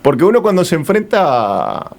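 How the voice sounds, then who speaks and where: A man's voice: a little high, big pitch swings, normal speed, creaky. Reflective Argentine man in conversation. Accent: Argentine Spanish. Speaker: interview guest, returned traveller.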